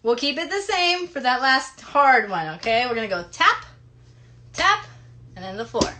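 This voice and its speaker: A woman's voice: sits high, big pitch swings, normal speed, sharp tone. A woman hosting an interactive play session for children, giving instructive, encouraging directions straight to them. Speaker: children's live show host. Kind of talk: interactive kids' play session, teaching and singing a hand game. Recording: noisy live stream with clicks.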